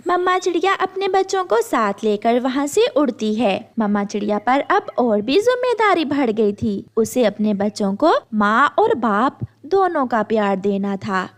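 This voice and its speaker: A woman's voice: a little high, big pitch swings, unhurried, few pauses. A woman narrating a scripted moral story for children.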